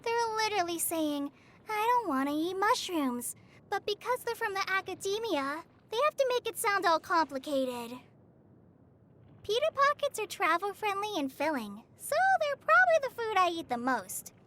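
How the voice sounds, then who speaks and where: A woman's voice: very high voice, big pitch swings, moderate pace, fairly bright tone, exaggerated voice. An actress playing a shy, earnest teenage girl forest ranger trainee in a video game, hesitant and easily flustered. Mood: struggling, exasperated. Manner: hesitant, stammering, apologetic. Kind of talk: video game character voice lines. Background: background music.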